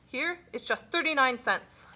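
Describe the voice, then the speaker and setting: Casting: a woman as a formal puppet official, high bright voice, scripted explanatory delivery. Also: expressive, a little slow, heavily acted. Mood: matter-of-fact.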